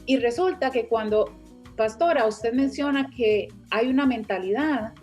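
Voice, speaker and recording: Lady, kinda high voice, walking through her point slowly, online interview. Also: darkish tone, soft background music. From Costa Rica. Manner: reflective, explaining step by step. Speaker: finance teacher and guest.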